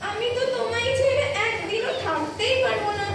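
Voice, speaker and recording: A noisy, echoey hall recording carries a woman acting as an earnest, clingy schoolgirl daughter. Her voice is high and girlish, coaxing her mother in expressive stage-drama style. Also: fairly quick, exaggerated voice. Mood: adoring. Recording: echoey hall, distant mic, clear background noise.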